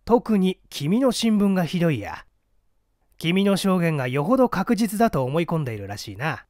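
Guy doing a pompous brainy detective in an audiobook, lecturing, highish voice, very up and down. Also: very animated, normal speed, a little mellow, no room sound, studio quality. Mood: genial, teasing. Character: shrewd, condescending male scholar-detective, methodical and talkative. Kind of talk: dramatic storybook reading of a mystery.

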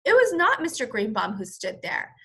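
A woman's voice: on the high side, huge pitch swings, normal speed, creaky, bright, forceful tone, husky. A woman teacher reading a children's story aloud expressively, stopping to explain words in simple terms. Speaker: first-grade teacher.

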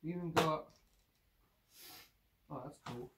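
A man's voice: fairly high pitch, takes it slowly, distant mic, very noisy. A young man with a stuffy nose from a cold, chatting in a rambling way as he unboxes old gear in a cluttered room. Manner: chatty, rambling, frequent fillers. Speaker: vlogger. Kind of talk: casual unboxing vlog.

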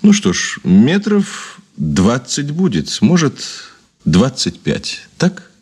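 A man playing a guarded, defiant officer under suspicion in a radio play, husky and terse, with stiff deference. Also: medium pitch, huge pitch swings, takes it slowly, darkish tone, full character voice. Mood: wary, defensive. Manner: terse, reluctant, formally deferential.

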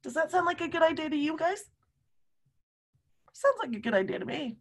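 A woman in her fifties reading a story aloud to young children, with animated, drawn-out emphasis and questions to her listeners. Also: sits high. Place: room at home.

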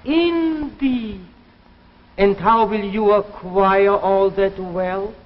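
A man's voice: sits high, extremely slow. A middle-aged man playing a stern, sneering landlord in a 1950s television drama, speaking in a clipped, formal, imperious manner. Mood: mocking. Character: stern, sneering male landlord demanding his debt. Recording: echoing, hissy, dull old TV soundtrack.

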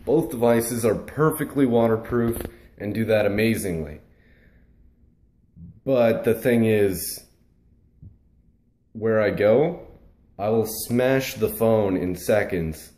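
Slow-talking young man in an echoey bedroom. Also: on the low side. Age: young adult. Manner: relaxed, rambling, frequent fillers and restarts. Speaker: vlogger answering viewer comments. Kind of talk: casual vlog chat. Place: bedroom with shelves, indoors.

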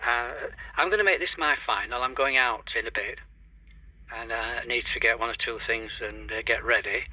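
A man's voice: mid-range voice, moderate pace, breathy edge, dry recording. An older man chatting in a hesitant, rambling way as an amateur radio operator. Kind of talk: casual radio chat. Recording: dull lo-fi radio link.

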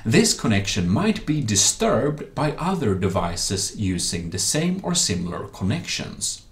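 Man with lively, swooping tutorial delivery. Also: lowish voice. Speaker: tech tutorial host. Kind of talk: explanatory software tutorial voice-over.

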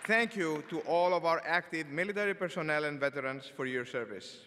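An older man, a dean, giving a formal commencement address from a podium, speaking in a measured, deliberate way with the odd filler word. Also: fairly high pitch, expressive, sharp tone, a faint crowd. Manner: measured, deliberate delivery with occasional filler words. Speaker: engineering school dean. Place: large arena stage at a podium. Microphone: podium microphone. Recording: long reverb of a large arena.

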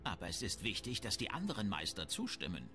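A man's voice: fairly low pitch, huge pitch swings, a little fast, pushed and bright, exaggerated voice. A man playing a shrewd, grumbling, self-important town merchant in a fantasy video game. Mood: businesslike. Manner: haggling, disdainful, self-important. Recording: music behind the voice.

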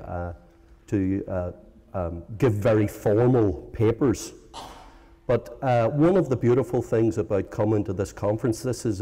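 A man's voice: lowish voice, mellow. Northern Irish man lecturing in a hall. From Northern Ireland. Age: middle-aged. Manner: hesitant, many ums and uhs, self-correcting. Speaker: philosophy professor giving a lecture. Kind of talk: informal conference lecture. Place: large conference hall. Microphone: lectern microphone. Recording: long hall reverb.

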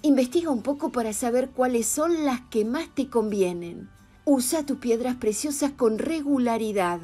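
Mellow higher-voiced woman narrating calmly. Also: moderate pace. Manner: calm, even advice-giving read. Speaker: channel narrator. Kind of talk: scripted voice-over narration. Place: voice-over over stock footage. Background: soft background music.

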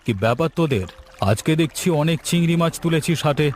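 A man voicing a stern older male village headman in a cartoon, commanding and unhurried. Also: a little high, a little hiss or hum, natural voice. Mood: curious. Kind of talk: cartoon voice acting.

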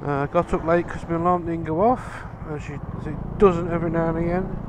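A man talks casually through a helmet mic while riding, grumbling, sighing and swearing at traffic. His voice sits a little high. Engine and road noise stay loud throughout. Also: steady tone, brisk. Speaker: motorcycle vlogger. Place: outdoors on a motorbike in road traffic.

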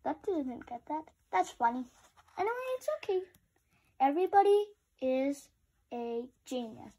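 A woman's voice: sits high, expressive, measured pace, dark tone. A woman reading a picture book aloud, adding informal, chatty, childlike asides to young listeners. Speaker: read-aloud host.

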